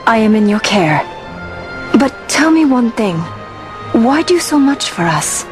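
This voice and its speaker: An actress voicing a reserved, resolute young princess in a video game cutscene, speaking in an earnest, deliberate way. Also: female voice, a little high, very animated. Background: game score music.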